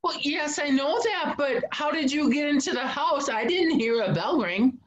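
A woman's voice: high voice, lively intonation, normal speed, lo-fi sound, slight background noise. An older woman playing a flustered, admiring household maid in a fretful, exclamatory stage comedy style. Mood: surprised, admiring. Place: indoors at home, video call.

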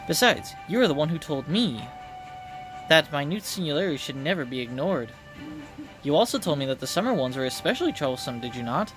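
A dignified, gentle goddess played by a man speaks game dialogue in a measured, formal way. Faint music sits behind the voice. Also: on the high side, big pitch swings, poor audio. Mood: reassuring. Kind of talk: video game dialogue read aloud.

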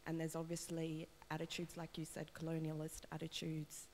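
Woman audience member, very low voice, halting, lots of ums, echoing hall sound. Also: monotone, a little mellow, a little hiss or hum. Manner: hesitant, many ums, wry. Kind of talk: question from the floor. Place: lecture room. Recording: distant voice with long reverb in a lecture hall.

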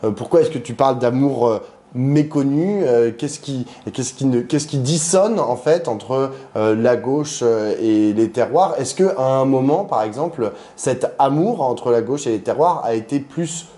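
A man hosting an interview, asking long informal questions in a halting way, with many restarts. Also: mid-range voice, expressive, dark tone. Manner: hesitant, halting questions with frequent fillers and restarts. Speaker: interviewer and host.

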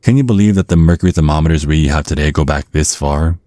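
A man plays a centuries-old vampire father with an extremely low voice, recorded close and dry. He is courtly and measured, with polite menace under every word, in an ASMR audio roleplay. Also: mellow, no room sound. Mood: polite, boastful. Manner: measured, politely threatening, formal.

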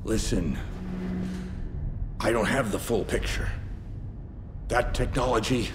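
Secretive special-forces commander, grave, unhurried, deepish voice, game drama, echoey with music behind. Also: male voice, background noise. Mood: weary, resolute. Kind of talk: video game cutscene acting.

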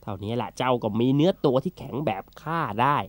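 A man's voice: mid-range voice, animated, fast talker, dry recording, natural voice. A man voicing a brave, wily turtle with a confident, teasing manner in a children's fable. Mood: sly, persuasive. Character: brave, wily, unflappable turtle who outsmarts bullies. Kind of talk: children's animal fable character acting.

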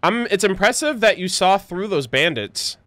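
A woman streaming a video game with casual live commentary, joking, excitable and slangy. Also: medium pitch, moderate pace, no echo.

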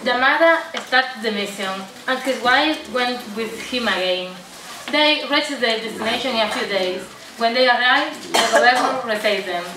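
A woman's voice: fairly high pitch, moderate pace, bright, forceful tone. Young woman narrating in an echoey room. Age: young adult. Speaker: student narrator. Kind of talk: scripted story narration. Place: indoor room at a day centre. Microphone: far camera. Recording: noisy echoey room, distant camera mic.

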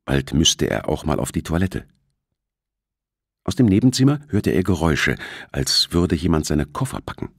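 A man's voice: very deep voice, very animated, moderate pace, vocal fry, breathy edge, no echo, studio quality. A man reading a thriller as an audiobook narrator, in scripted narration.

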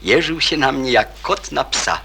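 An actor playing a courteous, perceptive man in a radio drama, husky and politely questioning. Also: mid-range voice, expressive, exaggerated voice. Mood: thoughtful, considerate. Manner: polite, measured, gently questioning. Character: courteous, perceptive adult man, calmly probing and considerate. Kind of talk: Polish radio drama acting.

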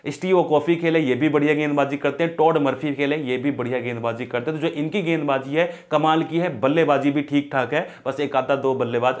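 A man's voice: on the high side, fairly even intonation, brisk, few pauses. A young man hosting a cricket match preview, talking to camera in a chatty, emphatic way and addressing viewers as brothers. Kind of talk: casual cricket prediction talk to camera. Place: indoor studio in front of a green screen.

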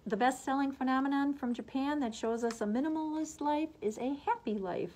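A woman's voice: sits high, fairly quick, a little mellow, a little hiss or hum. A middle-aged woman, a librarian recommending books and reading summaries, her voice slightly muffled by a face mask.

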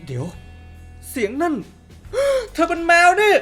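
A woman's voice: very high-pitched, huge pitch swings, normal speed, darkish tone, exaggerated voice. A woman voicing a goofy, cocky but easily spooked young male hero in a game fan dub, hesitant and flippant. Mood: startled, nervous. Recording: music behind the voice.

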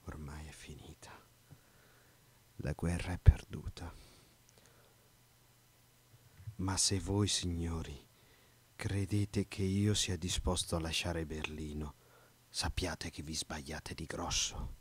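A man's voice: sits low, fairly even intonation, takes it slowly, slightly rough, heavily acted. A man dubbing a paranoid, ranting middle-aged dictator in an amateur film dub. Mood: defeated, bitter. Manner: ranting, with emphatic repetition.

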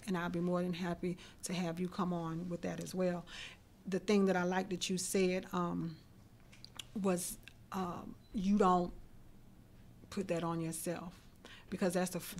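A middle-aged woman hosting a radio interview in a small studio, talking earnestly and reflectively into a desk mic. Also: on the low side. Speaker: host. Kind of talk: casual interview chat. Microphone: desk mic on stand.

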